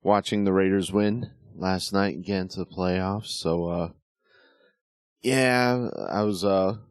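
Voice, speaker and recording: A middle-aged man hosting a casual sports talk show, slightly hoarse, rambling with lots of fillers and restarts. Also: sits low, fairly even intonation, slow, no echo, clean studio sound. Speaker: podcast host.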